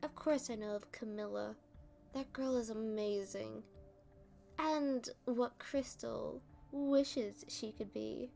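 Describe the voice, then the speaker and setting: A woman's voice: fairly high pitch, steady tone, vocal fry, warm tone. A woman playing a playful, smug female supervillain in an ASMR roleplay, teasing and talking down to the listener. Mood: scheming, dismissive. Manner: teasing, condescending, drawn-out delivery.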